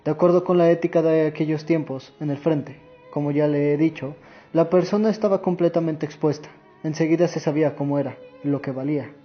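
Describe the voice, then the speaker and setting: A man's voice: a little high, steady tone, a little fast, close to an everyday voice. A man playing an earnest, sorrowful old war veteran and grandfather in a radio drama monologue. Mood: earnest, reflective. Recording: muffled audio with music underneath.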